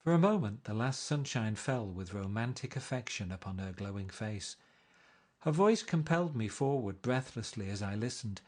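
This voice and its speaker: A man reading a classic novel aloud, narrating in a measured, gently expressive way. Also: fairly low pitch. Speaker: reader.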